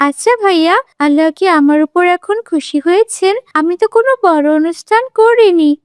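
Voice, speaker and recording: A woman voicing a kind, pious young girl in a children's cartoon, speaking gently and sincerely. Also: extremely high pitch, fairly quick, dry recording, studio quality. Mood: tender.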